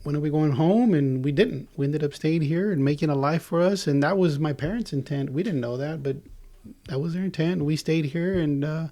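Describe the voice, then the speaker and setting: A man's voice: a little high, fairly quick, warm tone. A man in his forties from Israel, a native Hebrew speaker, casually telling personal stories on a podcast. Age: 40s. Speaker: podcast guest and co-host.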